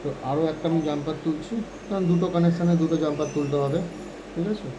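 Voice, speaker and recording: A man teaches in an informal, hands-on way. His voice is high and he talks quickly, often adding short check-in questions. The recording has clear background noise. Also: fairly even intonation. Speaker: phone repair instructor. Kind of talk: informal hands-on repair tutorial.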